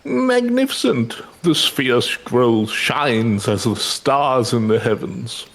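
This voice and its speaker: A man's voice: medium pitch, expressive, slow pace, gravelly, a bit bright, pitched below the performer's own voice, exaggerated voice. A man voicing a grandiose mystic from a fantasy game, theatrical and solemn in archaic incantation. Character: grandiose male mystic who enchants ancient relic weapons. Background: game music.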